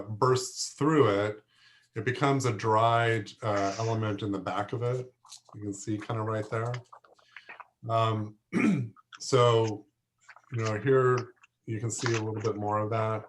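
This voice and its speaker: A man teaching a plant class who is losing his voice, hoarse and halting, often stopping to clear his throat. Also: fairly low pitch, fairly even intonation, slight background noise. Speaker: horticulture instructor. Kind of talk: lecture to a class.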